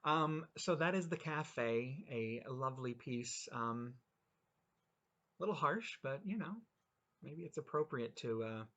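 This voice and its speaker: A man's voice: medium pitch, animated, a little slow, a bit bright, dull audio. A man in his forties hosting a reading of his own short story, chatting casually with wry, self-mocking asides. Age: 40s.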